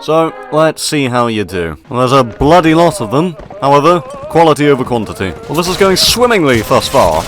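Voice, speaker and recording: A man narrates his gameplay in the pompous tone of a stuffy officer, posh and full of bluster, with dry asides. His voice sits at a middle pitch. Game music plays clearly behind him. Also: sing-song, normal speed, hardly any pauses, a bit bright. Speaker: gaming video host. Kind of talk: in-character gameplay commentary.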